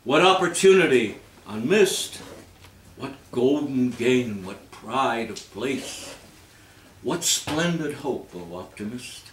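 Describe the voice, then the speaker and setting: A man reciting poetry in a theatrical, measured manner, with phrases that swell and then fall away. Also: medium pitch, lively intonation. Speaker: reader at a readers theater event. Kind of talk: dramatic poetry recitation with spoken introduction. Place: live reading in a roomy space. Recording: roomy, distant microphone, background noise.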